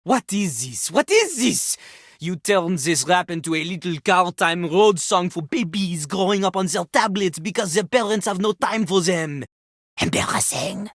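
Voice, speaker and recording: Pompous, swooping French rap-master character. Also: female voice, lowish voice, lively intonation, normal speed, no echo, studio quality. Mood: scornful, exasperated. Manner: pompous, speaks of himself in third person, dismissive. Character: vain, scornful French toilet who fancies himself a rap master. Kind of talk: theatrical video game character acting.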